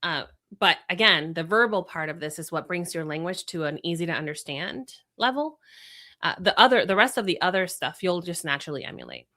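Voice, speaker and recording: A woman's voice: on the low side, big pitch swings, fairly quick, pushed and bright. A woman from Venezuela whose first language is Spanish, a brand strategist explaining her ideas in a podcast interview with examples and rhetorical questions.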